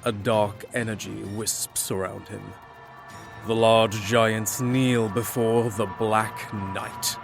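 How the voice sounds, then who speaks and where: A man's voice: lowish voice, little pitch movement, slow pace, vocal fry, soft background music. A man giving dramatic, scripted fantasy narration as the storyteller.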